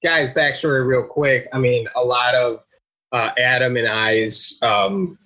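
A man's voice: medium pitch, level tone, measured pace, pushed and bright, lo-fi sound. A man co-hosting a podcast, rambling a little and chatting casually with his friend. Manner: rambling, self-deprecating. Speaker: podcast co-host. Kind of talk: casual banter.

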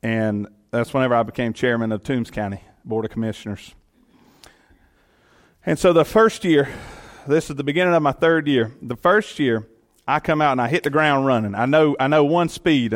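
A middle-aged man with a Southern Georgia accent tells his personal testimony to an audience. His delivery is earnest and folksy, and his voice sits at an ordinary middle pitch for a man. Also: normal speed. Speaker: county commission chairman.

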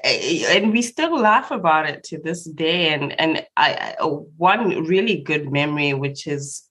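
Low-voiced woman from Zimbabwe. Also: lively intonation, a little slow, fairly bright tone, husky. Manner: laughs often, many fillers and restarts. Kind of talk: casual storytelling chat.

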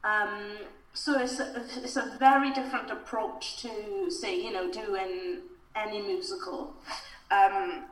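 A woman's voice: mid-range voice, steady tone, slow, recorded from a distance. A young Welsh woman, an actor, talking casually in an interview, chatty and laughing often with lots of fillers. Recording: echoey room, voice from off mic.

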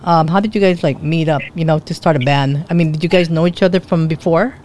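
A man hosting a radio interview show, asking questions in a casual, halting way and often repeating himself. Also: fairly high pitch, steady tone, a little mellow, slight background noise.

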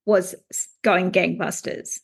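A woman hosting a book interview, speaking in an effusive, expressive way. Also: lowish voice, slow pace, creaky, breathy edge. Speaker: interview host.

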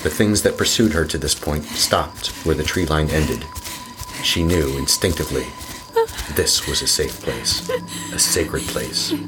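A man narrating a horror audio drama in a slow, measured storytelling manner. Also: a bass voice, noisy background.

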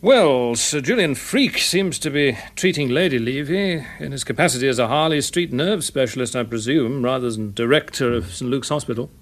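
An actor playing a cautious, puzzled police detective in a radio drama, plain-spoken and sceptical, with an educated English accent. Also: male voice, medium pitch, expressive, moderate pace, hardly any pauses, natural voice. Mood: businesslike. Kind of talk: full-cast radio drama acting.

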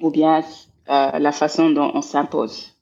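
A woman in her forties from Belgium speaks with a gravelly, husky voice. She talks slowly and reflectively as an interview guest, looping back on her words while she finds her phrasing. The recording sounds a little dull and muffled. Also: low-pitched, flat delivery, dark tone. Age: 40s. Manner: thoughtful, repeats words while searching for phrasing. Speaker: guest, scholar of international relations.

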